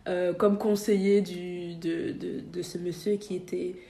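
A woman's voice: fairly low pitch, flat delivery, measured pace, vocal fry, dark tone, echoey room. A young woman from Belgium, a podcast guest talking casually, hesitating with many fillers and restarts. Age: young adult. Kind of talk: casual interview.

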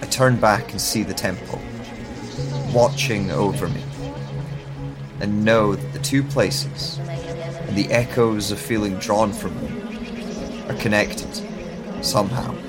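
A man with a low voice narrates in a slow, hushed, introspective first person. A music score plays clearly beneath him. Rain falls behind the voice.

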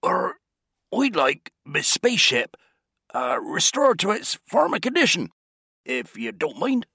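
A man's voice: high voice, huge pitch swings, a little slow, creaky, bright, forceful tone, slightly rough, dry recording, clean studio sound, voice pushed up, full character voice. A man voicing a polite, hesitant young male space traveller who hems and haws.

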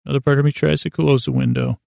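A man hosting a bedtime podcast in a gravelly, creaky voice, rambling in a halting, meandering way that often circles back on itself. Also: mid-range voice, little pitch movement, darkish tone, no room sound, studio quality. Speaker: host of a bedtime sleep podcast. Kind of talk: rambling, unscripted bedtime monologue.

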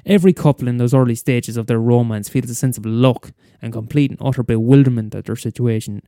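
A man playing jaded middle-aged male teacher, wry confessional storytelling, mellow dark tone, very dry sound. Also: medium pitch, smooth, dry recording, natural voice. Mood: bleak, disillusioned. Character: jaded bitter middle-aged male schoolteacher, weary and cynical. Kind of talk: first-person dramatic monologue.

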